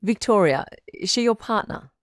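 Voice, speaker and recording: A woman playing a supportive radio advice doctor and host in an audio drama, measured, articulate and reassuring. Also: mid-range voice, lively intonation, no echo, clean studio sound, lightly acted. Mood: supportive, attentive.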